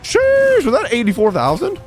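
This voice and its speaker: A man's voice: extremely high pitch, huge pitch swings, normal speed, music in the background. A young man giving casual, slangy live commentary as he reacts to a game he is streaming, close on the mic. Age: young adult. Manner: slangy, chatty. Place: small indoor streaming room. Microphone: desk microphone close to mouth.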